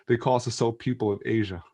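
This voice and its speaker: A man's voice: mid-range voice, husky. A Filipino American man sharing casually as a panel guest, easygoing and chuckling, with many fillers. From United States, Filipino American. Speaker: church worship team member, panel guest.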